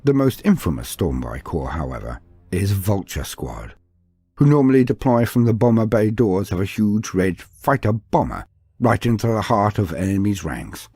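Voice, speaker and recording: A man's voice: lowish voice, very animated, normal speed, warm tone, husky, no echo, studio quality. A man delivering dramatic scripted narration of fantasy lore with a theatrical storytelling manner.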